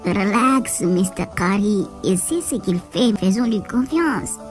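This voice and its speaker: A man voicing a gentle, playful young male sheep sidekick who speaks softly and reassuringly. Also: high-pitched, animated, slow, soft background music, voice pushed up.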